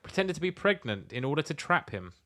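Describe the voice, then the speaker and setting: A man's voice: a little high, very animated, brisk, a bit bright. A man co-hosting a podcast in casual comic chat, blunt and sweary with an incredulous way of talking. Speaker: podcast co-host. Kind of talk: casual comic chat, reading out letters and reacting.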